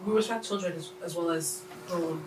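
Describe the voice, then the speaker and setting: A woman's voice: on the low side, a little fast, reverberant, recorded from a distance, noisy background. A woman co-hosting a casual conversation, speaking emphatically and often jumping in with "hello" and "girl.".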